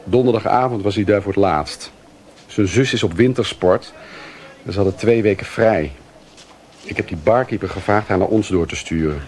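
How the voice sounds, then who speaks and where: A man's voice: lowish voice, moderate pace, a little mellow, slight background noise, close to an everyday voice. An actor playing a grumbling, dryly joking male police detective in a naturalistic radio drama. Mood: dry, matter-of-fact. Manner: dry, sarcastic.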